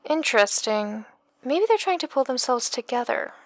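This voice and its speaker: Brisk, guarded young woman, higher-pitched, dry close sound through a phone receiver, audio drama. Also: animated, no room sound. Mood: matter-of-fact, concerned. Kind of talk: audio book character acting.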